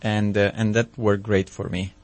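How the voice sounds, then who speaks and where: Gravelly Romanian-accented man, halting speech. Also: lowish voice, flat delivery. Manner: halting, many ums and restarts. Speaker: physician guest. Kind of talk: casual radio interview.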